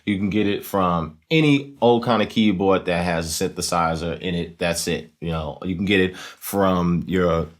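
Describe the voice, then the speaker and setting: A man with a low-pitched voice hosts a casual tutorial. He explains step by step in a conversational way, often checking that the listener follows. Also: normal speed, hardly any pauses. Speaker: host of a talk box tutorial series.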